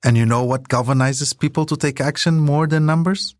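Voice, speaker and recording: A man's voice: on the high side, fairly quick, no room sound, studio quality. A man narrating a scripted documentary podcast as its storyteller.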